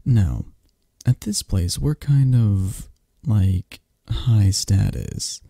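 A man acts out a controlling yet protective mafia boss boyfriend for an ASMR romance roleplay. His voice is low and husky, and he takes his time over each phrase. He coaxes and commands softly, close to the listener. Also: big pitch swings, no echo, heavily acted. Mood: possessive, firm. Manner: unhurried, commanding, coaxing, close and soft.

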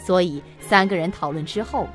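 A woman's voice: on the low side, moderate pace, soft background music. A woman reading scripted narration for a suspense audio drama.

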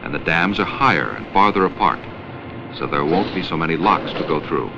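An American man reading scripted narration for a 1970 educational film in a measured, instructional way. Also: low voice, lively intonation, creaky, heavy background noise. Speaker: documentary narrator. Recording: dull vintage 16mm film soundtrack, music.

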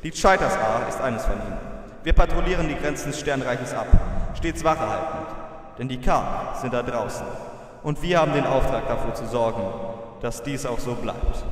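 A man playing a stern, wary starship captain in a science fiction audio drama, formal, measured and grave. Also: medium pitch, natural voice. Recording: very echoey, long reverb, distant.

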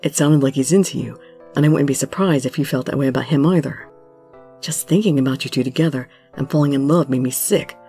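A woman voicing an intense, lovesick young male musician in a romance story, pleading earnestly in a breathy way. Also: rumbling low, brisk, dark tone, faint music behind, voice pushed down. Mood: jealous, urgent. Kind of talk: dramatic romance story reading.

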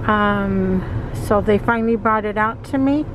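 A woman's voice: medium pitch, a little slow. A middle-aged woman, chatting casually as a delivery driver vlogging from inside a car. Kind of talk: casual vlog chat. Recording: car cabin with clear background noise.

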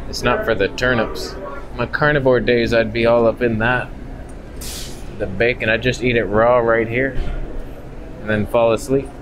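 Casual male vlogger, 30s, dry humour, average pitch, recorded handheld on the move with plenty of ambient noise. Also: little pitch movement, moderate pace, long pauses. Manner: wry, joking asides. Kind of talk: casual walk-along vlog commentary. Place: on the move through a market and outdoors. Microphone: handheld camera at arm's length.

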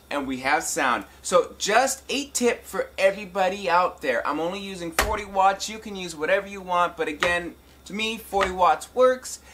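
A man's voice: fairly high pitch, pushed and bright, slight background noise. A man giving a casual hands-on tutorial as host, addressing viewers in an informal, folksy way. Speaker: tutorial host and talk box musician. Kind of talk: casual instructional demonstration.

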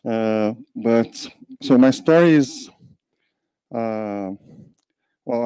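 An older man, a panel guest, giving a thoughtful, measured account of his history into a handheld mic in a meeting room. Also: lowish voice, warm tone, smooth, dry recording. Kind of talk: panel conversation.

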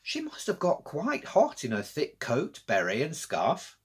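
A middle-aged man reading a story for children in an expressive, deliberate way. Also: a little high, slightly rough. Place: small room with bookshelves, indoors.

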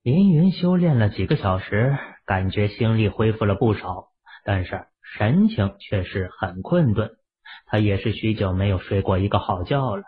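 A man narrating a novel as an audiobook in an expressive storytelling manner. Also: on the low side, moderate pace, slightly rough. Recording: tinny phone-like audio.

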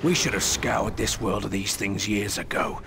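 An actor playing a jittery, grumbling rank-and-file trooper in a war game, his voice gravelly. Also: fairly low pitch, moderate pace, noisy background. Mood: sarcastic, disgruntled.

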